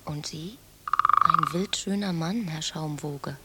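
A woman playing a dreamy, flirtatious, devoted island woman with a breathy voice in a German radio play. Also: low voice, slow pace, very noisy. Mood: tender, amorous.

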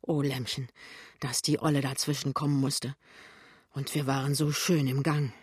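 A woman's voice: very deep voice, little pitch movement, normal speed, slightly rough, no room sound, heavily acted. A young husband voiced by a woman reader in a literary audio drama, cheeky and easily exasperated. His talk is blunt, colloquial and dismissive, in a very dry close recording. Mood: grumbling.

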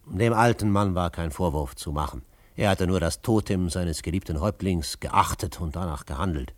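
An actor playing a calm, commanding frontier adventurer in a German radio drama, measured and authoritative. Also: male voice, sits low, dry recording. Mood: measured narrating.

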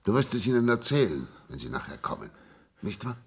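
An actor playing an aging companion in a radio play, gently insistent as he coaxes and reminisces. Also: lowish voice, big pitch swings, a little fast, gravelly, breathy edge, heavily acted. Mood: expectant, nostalgic. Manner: coaxing, reminiscing. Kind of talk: German radio play acting.

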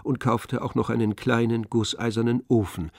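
A man's voice: medium pitch, level tone, normal speed, gravelly, a little mellow, dry recording, studio quality. A man reading literary narration as the storyteller of a radio play.

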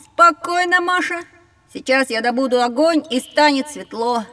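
A woman's voice: high-pitched, normal speed, pushed and bright, big-room sound. A woman playing a curious, confident know-it-all young boy who eagerly explains and questions in a children's educational audio drama.

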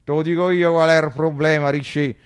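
A man acts out a grumbling Roman uncle in a radio play. He is naive and exasperated, pitching his male voice up and stretching his words in broad, colloquial dialect for emphasis. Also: little pitch movement, fairly quick, smooth, close to an everyday voice.

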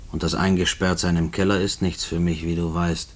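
An actor playing a restless male prisoner in a German radio play, brooding haltingly as if writing out letters. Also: low-pitched, flat delivery. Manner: halting, brooding monologue, spelling out words as he writes.

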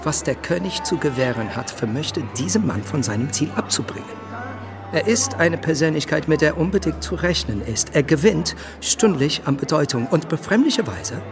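An actor playing an emphatic government observer, declaiming his gravely admiring report in a radio drama. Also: on the high side. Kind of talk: radio drama letter reading.

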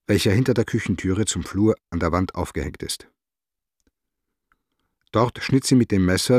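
An impassive police official in a radio play, reading a formal report. The voice is deep and husky, in a very dry studio sound. Delivery is detached and bureaucratic. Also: male voice, darkish tone, dry recording. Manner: matter-of-fact, bureaucratic, detached.

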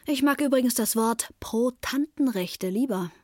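High-voiced, wry teenage girl. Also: measured pace, dark tone, dry recording. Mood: wry, sardonic. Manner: dry, sardonic asides. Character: sharp-witted teenage daughter of a manic mother, narrating. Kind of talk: radio play first-person narration. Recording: music behind the voice.

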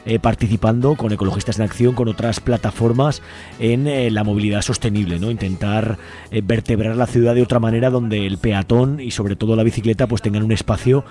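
A man's voice: on the low side, fairly even intonation, a little fast, mellow, faint music behind. A man explaining things in a radio interview, often ending statements with a questioning tag. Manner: tags statements with questioning 'no?'. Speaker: environmental activist guest.

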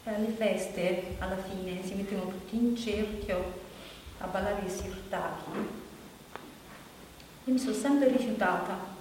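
A woman's voice: mid-range voice, a little mellow, close to an everyday voice. Actress as a wistful woman in an echoey hall. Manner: halting, repetitive, pleading and insistent. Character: unmarried older woman, wistful and insistent, longing to dance. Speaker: stage actor. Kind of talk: intimate theatrical monologue. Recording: distant, very echoey hall, faint noise.

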